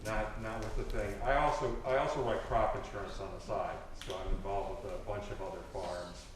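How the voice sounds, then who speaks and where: A man who farms speaking up from the audience at a town forum, plain and matter-of-fact. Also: low voice. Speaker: farmer. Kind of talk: audience remarks. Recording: echoey hall from far off, noisy.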